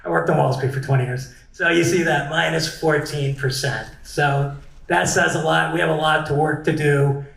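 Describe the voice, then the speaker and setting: Gravelly man presenting stats, echoey room. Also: a little high, fairly even intonation, moderate pace, a bit bright. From United States. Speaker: panel presenter. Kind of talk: presenting slides to an audience.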